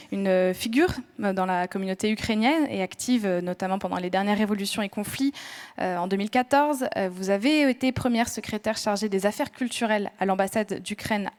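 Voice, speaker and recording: A woman's voice: mid-range voice, darkish tone. A woman moderating a round table, introducing each panelist with frequent hesitations and restarts. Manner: hesitant, many "euh" fillers and restarts. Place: conference room with audience.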